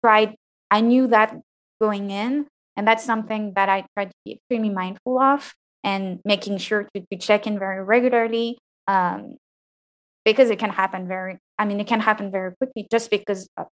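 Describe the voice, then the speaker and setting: A woman in her thirties with a fairly high voice, answering interview questions frankly and thoughtfully, often restarting her sentences. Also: fairly even intonation, moderate pace. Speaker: interview guest, PhD graduate.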